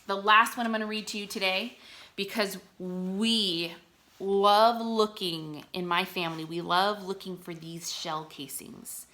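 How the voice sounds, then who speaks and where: A woman reading aloud to children like a teacher, enunciating deliberately and explaining words simply as she goes. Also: mid-range voice, fairly even intonation, bright, forceful tone.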